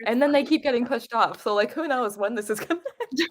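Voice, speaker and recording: A woman's voice: a little high, fast. A woman co-hosting a book discussion live stream, chatting casually with a giggly, playful manner. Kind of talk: casual chat.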